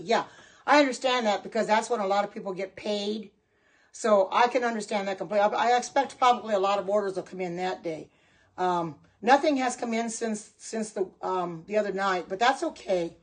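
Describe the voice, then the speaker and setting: A woman's voice: mid-range voice, fairly quick. A middle-aged woman chatting casually on a livestream, reading out and answering viewer comments as she goes. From Oregon. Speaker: livestream host and kitchen products seller.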